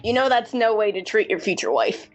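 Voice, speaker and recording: A woman's voice: a little high, brisk, natural voice. A woman playing a blunt, teasing, laid-back housemate in a tabletop game. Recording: music behind the voice.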